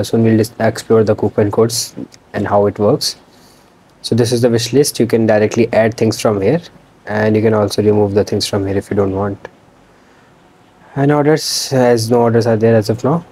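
Young man, husky low voice. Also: warm tone, poor audio. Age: young adult. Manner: loose, unscripted, with fillers and restarts. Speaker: student presenting a project. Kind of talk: casual screen-recorded demo walkthrough. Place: small indoor room.

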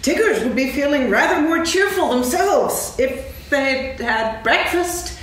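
A woman's voice: high-pitched, lively intonation, a little slow, a bit bright. A woman reading the part of an eager, boastful young tiger in a staged reading of a children's play. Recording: echoey stage, distant mic, background noise.

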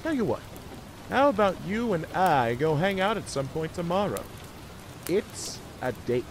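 A man voicing a lovestruck, bashful cartoon beaver who sweet-talks haltingly in a fan fiction reading. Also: high voice, sing-song, slight background noise. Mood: flirty. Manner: halting, stammering, sweet-talking.

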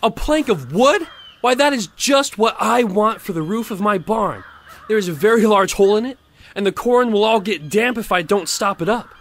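An actor playing a pompous, self-righteous, grasping miller in an audio storybook drama, preachy in manner. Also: male voice, sits high, normal speed, fairly bright tone. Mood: smug, demanding. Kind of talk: audio theatre storybook acting.

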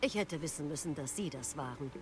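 A woman's voice: deep voice, lively intonation, brisk, background noise. Stern, authoritative female officer. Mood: stern, relieved. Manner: formal, admonishing, firm. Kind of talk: video game voice acting.

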